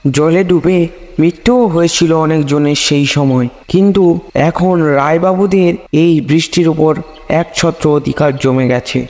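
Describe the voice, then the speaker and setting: High-pitched man narrating in deep reverb. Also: a little mellow. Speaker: narrator of a horror cartoon story. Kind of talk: scripted ghost story narration. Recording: long hall-like reverb on voice.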